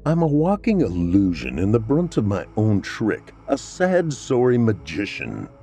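A man's voice: lowish voice, wildly swooping pitch, unhurried, mellow, pitched above the performer's own voice, full character voice. A man voicing a brooding, self-pitying vampire man three centuries old, melodramatic and anguished.

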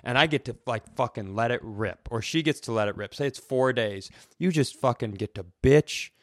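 A middle-aged man in casual comedic chat, with a mid-low voice recorded close and dry. He tells stories loosely, slips into quoted dialogue and swears easily. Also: moderate pace, no room sound. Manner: animated retelling with acted quotes, casual swearing. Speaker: comedy podcast co-host.

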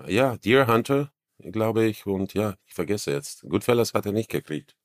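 Deep-voiced male actor guest with a slight Viennese accent, halting, searching for words, dry close mic. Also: animated, dry recording. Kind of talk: casual interview chat.

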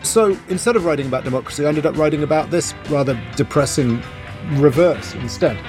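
A middle-aged man from Wales, a journalist and author, telling his story in a relaxed interview with wry asides and fillers. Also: fairly high pitch, brisk, over music. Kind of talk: conversational interview.

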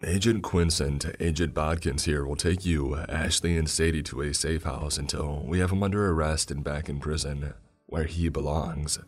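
A man's voice: extremely low pitch, fairly even intonation, moderate pace, faint music behind. A man doing an earnest federal agent. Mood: sincere. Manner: earnest, reassuring. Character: male federal agent, calm and sincere.

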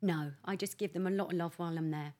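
A middle-aged woman from England, co-hosting a podcast with her daughter, telling stories in a playful, animated way. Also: lowish voice, fast talker. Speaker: podcast co-host, host's mother. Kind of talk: casual chat.

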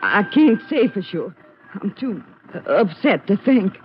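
An actress playing a timid, evasive country farm wife in a 1950s radio western, hesitant and flustered. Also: female voice, mid-range voice, sing-song, a little slow, soft background music. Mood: nervous, upset. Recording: muffled, noisy 1950s radio recording.

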